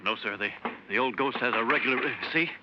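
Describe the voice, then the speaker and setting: A man's voice: mid-range voice, quick pace, lightly acted. An actor playing an eager local man explaining a haunting, in 1950s radio drama style. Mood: eager, spooky. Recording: muffled noisy old radio recording.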